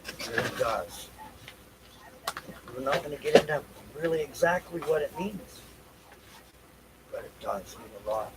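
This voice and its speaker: A man with a somewhat high-pitched voice talks off the cuff as a livestream host. He rambles loosely, half to himself, and circles back over his own phrases. Noticeable noise runs behind him throughout. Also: expressive, a little slow, long pauses. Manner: repeats phrases for emphasis. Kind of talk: rambling unscripted livestream talk.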